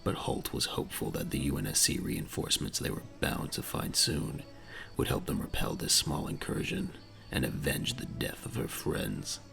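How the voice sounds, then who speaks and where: A man narrates a dramatic audio story in an extremely deep, heavily gravelly voice. His delivery is deliberate and suspenseful, with very animated intonation, over soft background music.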